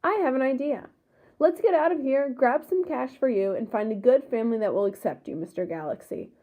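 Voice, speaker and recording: Phone-like audio, eager scheming friend played by a woman, high voice, urgent, encouraging, storybook dialogue. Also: brisk. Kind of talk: storybook read-aloud dialogue. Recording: thin, phone-like sound.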